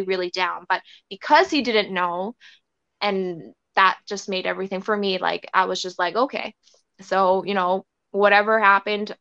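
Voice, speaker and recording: A woman's voice: medium pitch, bright, forceful tone, clean tone, dry recording, dull audio. A young woman raised in Canada with Thai as her first language, telling a story as an interview guest in a rambling way, full of "like" and "um" and restarts. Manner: rambling, filler-heavy with many restarts.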